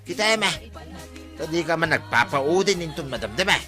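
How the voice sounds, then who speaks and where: A man's voice: a little high, animated, a little fast, music in the background, natural voice. A man playing an exasperated village man who complains emphatically, in an Ilocano radio comedy drama.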